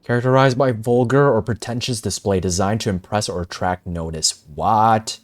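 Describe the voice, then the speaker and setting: A young man reacting casually to a game on stream, slangy and excitable, often repeating himself. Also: lowish voice, normal speed. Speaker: gaming streamer.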